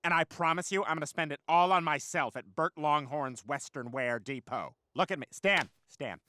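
A man voicing a flamboyant, self-absorbed alien in an animated comedy, with a nasal, catty, theatrical delivery. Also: on the high side, moderate pace, a little hiss or hum. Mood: scheming.

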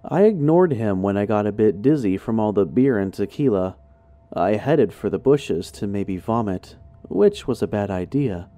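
A man's voice: lowish voice, animated, normal speed, warm tone, dry recording. A man reading a horror story in a suspenseful, theatrical narrating style. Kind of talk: dramatic scripted narration.

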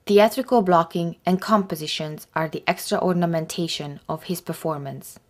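A woman reading scripted documentary narration in a measured, even way. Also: lowish voice.

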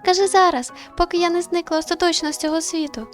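A woman's voice: very high-pitched, steady tone, quick pace, soft background music, voice pushed up. A woman voicing the ghost of a young girl, a tender, sorrowful daughter speaking plaintively in a visual novel. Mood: pleading. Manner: plaintive, earnest. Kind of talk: visual novel read-aloud.

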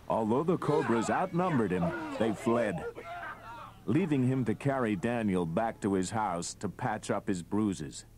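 A man's voice: mid-range voice, heavy background noise. A man narrating a read-along storybook in a scripted style. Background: loud music.

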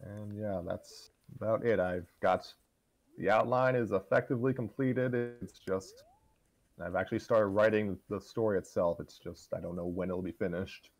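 A man co-hosting a show in casual, unscripted chat, talking in a halting, self-deprecating way. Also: on the low side, steady tone. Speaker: co-host of a fan fiction reading show.

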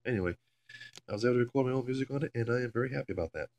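A man's voice: mid-range voice, very fast. A man chatting casually and rambling, with swearing, in a homemade vlog recorded on a phone in a carpeted room. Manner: foul-mouthed, rambling. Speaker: amateur video maker. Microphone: handheld phone camera. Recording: home phone recording with loud hum.

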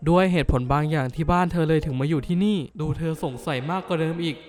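A man's voice: a little high, fast talker, a little mellow, natural voice. A man voicing a flustered bookish schoolboy. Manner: flustered, grumbling inner monologue. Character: bookish flustered teenage boy student. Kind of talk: anime dub acting.

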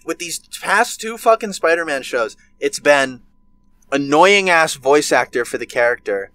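A man's voice: a little high, lively intonation, unhurried, bright, forceful tone. A nineteen-year-old man ranting with heavy swearing in a casual podcast chat, recorded over a webcam video call from his bedroom. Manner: profane, ranting, heated. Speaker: podcast co-host.

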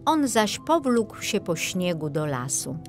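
Slow, expressive woman storyteller. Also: lowish voice, faint music behind. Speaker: audiobook narrator. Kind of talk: scripted fairy tale narration for children.

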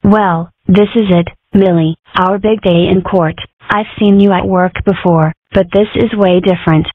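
Woman as stilted, wry comic-strip fox, medium pitch, narrow phone-like recording. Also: fairly even intonation, a little slow, dry recording, lightly acted. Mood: earnest. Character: wry young fox girl and her fox mother. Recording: muffled, narrow phone-like sound, close and dry.

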